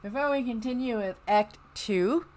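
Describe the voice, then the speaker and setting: A woman's voice: a little high, normal speed, darkish tone. A woman hosting a casual livestream in a chatty, rambling, folksy way. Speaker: livestream host. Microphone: headset or USB microphone.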